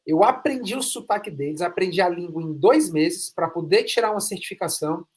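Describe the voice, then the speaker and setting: A man's voice: high-pitched, lively intonation, a little fast. A Brazilian man hosting an interview podcast, talking emphatically and repeating phrases for stress. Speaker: podcast host. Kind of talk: enthusiastic interview chat.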